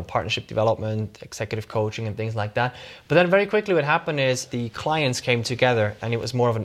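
A middle-aged man from Switzerland, a startup CEO answering interview questions in a loose, rambling way with many fillers and restarts. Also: medium pitch.

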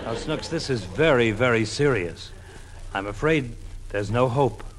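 A man's voice: mid-range voice, expressive, a little slow, crowd noise, close to an everyday voice. A man playing a kindly, joking family doctor who coaxes a child in an old radio comedy. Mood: mock-grave. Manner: coaxing, playful, mock-grave. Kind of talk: 1940s radio comedy acting. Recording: noisy old radio recording.

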